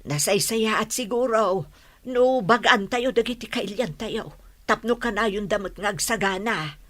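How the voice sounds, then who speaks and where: A performer with an Ilocano accent playing an uneasy villager with a raspy, bright voice in a radio drama. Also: medium pitch, unhurried, lightly acted. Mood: urging. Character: uneasy villager urging neighbors to prepare.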